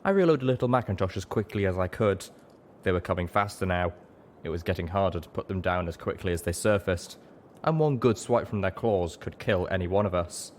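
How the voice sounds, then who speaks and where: A man with a low voice narrates a story. His delivery is dramatic and scripted, in the manner of an audiobook. The recording has clear noise behind him. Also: brisk.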